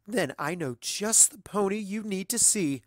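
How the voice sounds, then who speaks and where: A performer voicing an elegant, gracious unicorn mare socialite in a storybook reading, with refined, effusive, drawn-out phrasing. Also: mid-range voice, expressive, no echo, studio quality, pitched above the performer's own voice, close to an everyday voice. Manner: effusive, genteel, drawn-out words.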